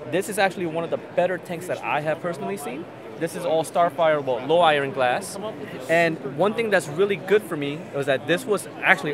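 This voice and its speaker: A man in his thirties tours a busy expo floor, talking up aquarium gear with eager enthusiasm and jokes at his own expense. His voice sits a little high. A dense crowd murmurs behind him. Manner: enthusiastic, self-deprecating. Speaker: aquarium channel host. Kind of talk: trade show walkthrough and interviews. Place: busy indoor expo hall. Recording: crowd chatter from a busy hall.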